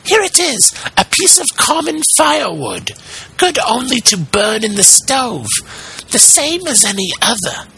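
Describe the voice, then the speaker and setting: A man voicing an excitable old carpenter in a storybook, muttering to himself in an exaggerated, easily frightened way. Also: very high voice, measured pace, creaky, slightly rough, voice pushed up, heavily acted, poor audio. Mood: frightened, puzzled. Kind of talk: storybook character voice.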